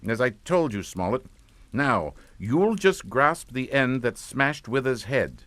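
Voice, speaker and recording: Coldly commanding patriarch, swooping intonation. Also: male voice, medium pitch, animated, slow, fairly bright tone, no room sound. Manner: clipped, authoritative, matter-of-fact. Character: coldly commanding English father, calm, menacing, middle-aged patriarch. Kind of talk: suspense radio drama acting.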